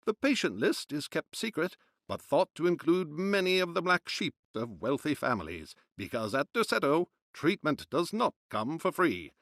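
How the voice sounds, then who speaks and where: An actor playing a formal period newsreader, reading newspaper reports in a declamatory narrating style. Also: male voice, fairly high pitch, very animated, moderate pace, a bit bright, dry recording, clean studio sound. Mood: formal, measured. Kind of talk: scripted news narration.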